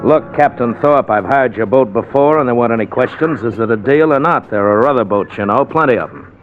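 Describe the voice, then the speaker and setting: An actor playing a guarded, possessive man scheming for a fortune in a 1950s radio drama, with clipped lines and pauses. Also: medium pitch, music in the background, close to an everyday voice. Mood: businesslike. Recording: dull, noisy old radio recording.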